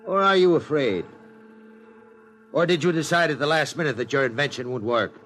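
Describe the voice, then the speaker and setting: An actor playing a domineering, impatient industrialist with a curt, commanding manner in vintage radio drama style. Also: male voice, on the high side, fairly quick, a bit bright, a little hiss or hum, natural voice. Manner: curt, commanding, self-assured. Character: ruthless, domineering, impatient male industrialist tycoon.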